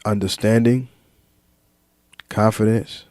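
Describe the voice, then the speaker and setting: A man talking freely to his viewers in a reflective monologue, slow and deliberate, listing ideas one word at a time with some fillers and repeats. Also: lowish voice, fairly even intonation, darkish tone, dry recording. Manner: lists ideas in measured single words. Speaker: vlogger.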